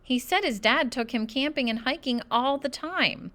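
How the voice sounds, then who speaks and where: A woman reading a children's book aloud as an expressive narrator. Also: high voice, normal speed. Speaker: storybook reader.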